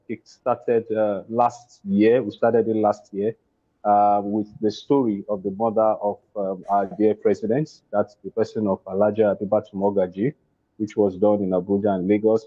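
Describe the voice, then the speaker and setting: A Nigerian playwright talks about his work over a video call. His voice is mellow and warm, and the line sounds a little dull and lo-fi. He hesitates often, stacking fillers and restarting his sentences. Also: fairly low pitch. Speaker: playwright and producer.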